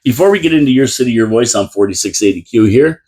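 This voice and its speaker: A man's voice: mid-range voice, expressive, fast talker, warm tone, breathy edge. A man hosting a live local radio talk show from a small studio, chatty and rambling with lots of restarts. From Canada. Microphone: studio microphone.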